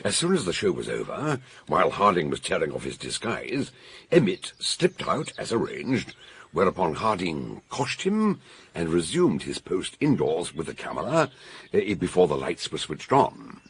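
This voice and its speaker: A deep, very husky older British man holds forth as a shrewd amateur detective in a radio mystery. His delivery swings widely, jovial and commanding, with theatrical relish as he explains. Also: huge pitch swings, normal speed, full character voice. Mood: shrewd, explaining. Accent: British English. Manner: theatrical, expansive, authoritative explaining.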